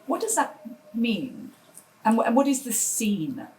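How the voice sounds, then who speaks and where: A woman's voice: on the high side, very animated, a little slow, a little mellow, rough recording. A woman asking questions as an interviewer, in a conversational interview style.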